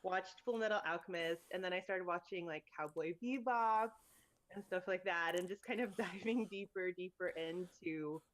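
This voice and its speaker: A woman from Thailand talks casually as a guest about her path into game design. Her voice sits a bit low and is recorded dry and close. She peppers her speech with "like" and laughs often. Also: moderate pace, no echo. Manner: chatty, lots of "like" and laughs. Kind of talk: casual interview chat.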